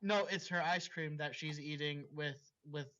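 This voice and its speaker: A man with a bright, fairly high voice reads fan fiction out loud in an informal way. He slips between the text and chatty asides to whoever is listening. The recording is dull and lo-fi. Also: moderate pace. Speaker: story reader. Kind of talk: reading fan fiction aloud, with casual asides.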